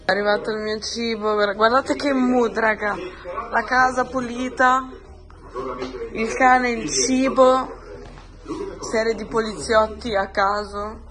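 A woman's voice: fairly high pitch, fairly even intonation, slow. Chatty young woman vlogging at home. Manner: chatty, addresses viewers as "raga". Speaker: lifestyle vlogger. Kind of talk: casual chat to camera. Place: apartment, indoors. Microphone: phone camera at arm's length. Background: background music.

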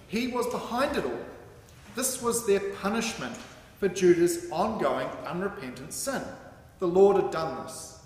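High-pitched man preaching in an echoey hall. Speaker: sermon reader. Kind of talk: sermon read aloud from a script. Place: large hall with curtained stage, indoors. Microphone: microphone on a stand at a lectern. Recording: very echoey hall with some background noise.

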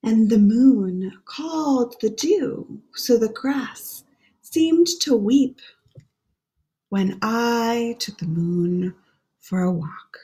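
A woman in her thirties gently reading a children's picture book aloud and explaining the pictures as she goes. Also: fairly high pitch, lively intonation, drawn-out words. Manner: gentle, explaining pictures between read lines. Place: small room at home.

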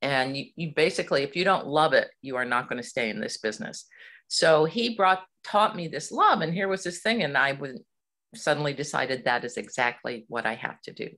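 A middle-aged woman from Hawaii, a puppeteer, chatting in an interview with lively, laughing talk. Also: rumbling low, fairly quick. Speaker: puppeteer guest. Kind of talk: casual interview chat.

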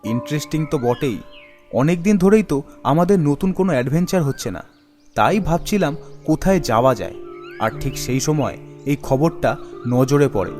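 A man's voice: a little high, lively intonation, moderate pace, a little mellow, faint music behind, natural voice. A man voicing an eager young male friend planning spooky adventures in a cartoon ghost story, chatty and enthusiastic. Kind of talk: animated ghost story character voice.

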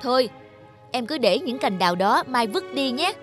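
A brash middle-aged woman customer from a cartoon. Her voice sits high and she haggles in a pushy, scornful way, with music playing behind her. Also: sing-song, moderate pace. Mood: indignant, scornful. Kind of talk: animated cartoon dialogue.

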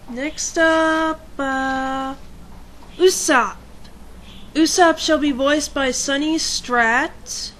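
A woman casually narrating a fan announcement in a halting, hesitant, self-deprecating way, often trailing off. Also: high voice, a bit bright, a little hiss or hum. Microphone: home computer microphone.

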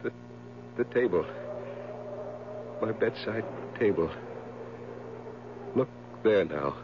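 An actor playing an anguished, haunted madman in a 1970s radio drama, speaking in halting, broken phrases. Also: on the low side, animated. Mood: horrified dread. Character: anguished madman confessing a horror in dread. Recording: muffled, noisy 1970s radio recording.

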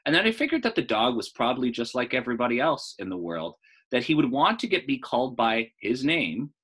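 A man in his thirties reading a children's book aloud as a storyteller, in an animated style. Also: medium pitch, brisk, breathy edge.

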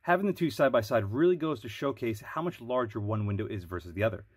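Guy with a stuffy cold, kinda husky, explaining gear calmly, dry close mic. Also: medium pitch, no echo. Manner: measured, explanatory. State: nasal from a cold. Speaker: product reviewer. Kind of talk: product review voice-over.

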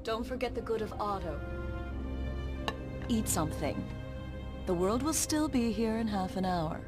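An actress playing a scientist's caring, practical wife. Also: female voice, medium pitch, lively intonation, measured pace, music in the background, lightly acted. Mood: gently chiding. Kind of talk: video game voice acting.

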